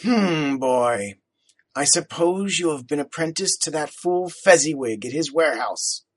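A man reading a story aloud, voicing a stern, miserly older businessman with a raspy, growling voice. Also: fairly high pitch, expressive. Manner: stern, curt, slow.

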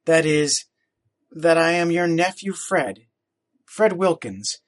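A man's voice: fairly high pitch, animated, slow, pitched above the performer's own voice. A man reading a story aloud, voicing a polite, earnest young boy who speaks formally and a little hesitantly.

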